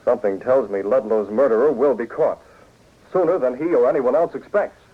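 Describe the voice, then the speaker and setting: An actor playing a confident, shrewd newspaper publisher with a husky voice, in 1940s radio style. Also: male voice, medium pitch, fairly quick, background noise, natural voice. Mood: shrewd, measured. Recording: dull lo-fi 1940s radio recording.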